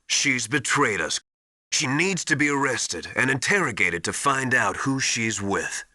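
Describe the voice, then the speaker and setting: A stern military mentor for a video game. He has a middling pitch that moves a lot, and a sharp, forceful tone. He sounds insistent, deliberate and full of suspicion. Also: animated, no echo, studio quality, full character voice. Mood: stern, accusing. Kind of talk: dramatic video game voice acting.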